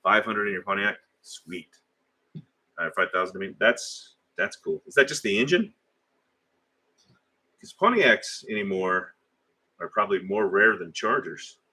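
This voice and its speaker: A middle-aged man with a deep, low-pitched voice that still sounds bright and forceful. He talks in a loose, rambling way, stopping for fillers and restarts as he chats with viewers on a live stream. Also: big pitch swings, unhurried, pauses often, husky. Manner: rambling, conversational, hesitant with fillers. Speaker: livestream host. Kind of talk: casual live chat reading viewer comments.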